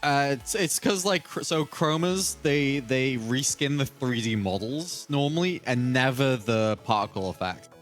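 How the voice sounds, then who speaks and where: A man chatting as a podcast panelist, explaining things casually with halting repeats. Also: mid-range voice, expressive, faint music behind.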